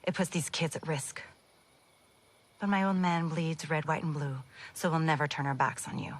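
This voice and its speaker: A woman's voice: low-pitched, moderate pace. An actress playing a blunt, protective woman who leads a community, in a video game cutscene. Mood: firm, wary. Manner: blunt, firm.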